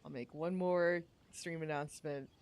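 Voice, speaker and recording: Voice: mid-range voice, steady tone, moderate pace. A podcast host chatting casually on a livestream in an informal, jokey way. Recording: music behind the voice. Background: music.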